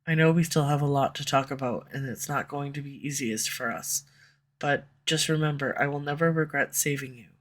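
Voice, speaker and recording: A woman's voice: deep voice, fairly quick, close to an everyday voice. A woman voicing a flustered tsundere female villain in love, in an audio roleplay for a listener, defensive at first and then tender. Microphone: USB condenser microphone at home.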